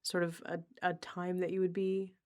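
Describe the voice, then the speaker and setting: A woman's voice: on the low side, level tone, normal speed, darkish tone. A woman podcast host in a casual, conversational interview.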